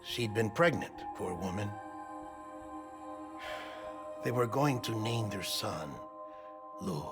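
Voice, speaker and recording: A man playing an earnest, gentle middle-aged doctor with a melancholy air in a video game, speaking in measured, weighty phrases. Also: fairly low pitch, expressive. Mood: somber. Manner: measured, deliberate delivery in short weighty phrases. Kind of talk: cinematic video game dialogue acting. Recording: music behind the voice.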